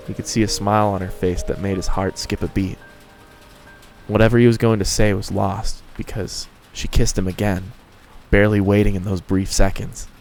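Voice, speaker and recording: Low-voiced man narrating over music. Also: creaky, darkish tone, rough recording. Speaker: story narrator. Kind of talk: scripted fan fiction narration.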